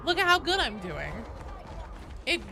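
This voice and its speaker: A woman's voice: high voice, wildly swooping pitch, unhurried, creaky, background noise. A woman livestreaming a game, chatting casually in a rambling, sweary, self-deprecating way. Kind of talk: casual gaming stream chat and commentary.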